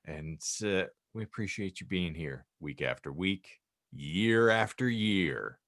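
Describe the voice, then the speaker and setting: A man with a low-pitched voice hosts a loose, joking panel chat. His phrasing is wordy and mock-formal, even in casual banter. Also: slow pace, no echo, studio quality. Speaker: podcast host.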